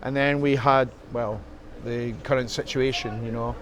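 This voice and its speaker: Halting middle-aged scottish man. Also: mid-range voice, steady tone. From Scotland. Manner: halting, many fillers and restarts. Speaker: interview guest, musician. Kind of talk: casual chat. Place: club.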